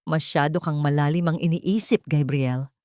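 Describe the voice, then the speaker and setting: A woman voicing a gentle, perceptive heroine in an audio drama, tender and emotional. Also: low voice, steady tone, moderate pace, no room sound, clean studio sound, close to an everyday voice. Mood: gentle concern. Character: gentle, perceptive woman in love, easily wounded.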